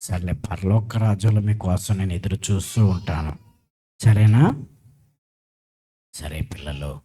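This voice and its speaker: A man playing a gentle, fatherly, forgiving Jesus, speaking solemnly in a children's church puppet show. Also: low voice, a little slow, husky. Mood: fatherly, exhorting.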